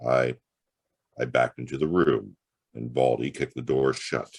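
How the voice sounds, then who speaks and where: A man's voice: very deep voice, steady tone, a little slow, creaky, husky, no echo, full character voice. An actor playing a world-weary, wisecracking private detective in a noir audio drama, with wry, clipped tough-guy delivery. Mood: grim, tense. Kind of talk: noir radio-play acting and first-person narration.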